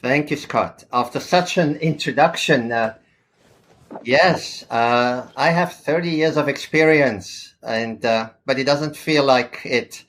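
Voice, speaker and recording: A man in his fifties, a podcast guest who talks thoughtfully and pauses on fillers. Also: medium pitch, a bit bright, poor audio. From South Africa. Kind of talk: podcast interview.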